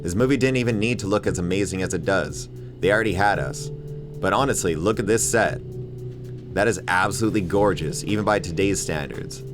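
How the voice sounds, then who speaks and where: Low-voiced man giving opinionated video essay commentary. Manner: opinionated, emphatic, casual swearing. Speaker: video essay host and film critic. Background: music bed under voice-over.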